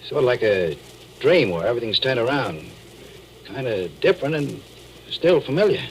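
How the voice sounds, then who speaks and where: An actor playing a haunted, nervous deep-sea diver who narrates his ordeal haltingly in 1940s radio drama style. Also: big pitch swings, slight background noise, rough recording. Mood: brooding, dreamy. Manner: halting, hesitant, brooding. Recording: old 1940s radio recording with music.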